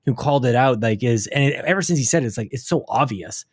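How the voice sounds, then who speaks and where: A man's voice: mid-range voice, expressive, fast talker, husky, no echo, studio quality. A man hosting a gaming podcast, talking in a casual, thoughtful way. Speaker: podcast host. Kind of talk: casual conversation.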